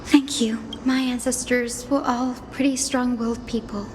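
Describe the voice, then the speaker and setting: A woman playing a gentle, resolute young healer heroine in a fantasy video game, speaking politely and earnestly. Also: fairly high pitch, flat delivery, moderate pace, a little hiss or hum, lightly acted. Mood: light, musing. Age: young adult.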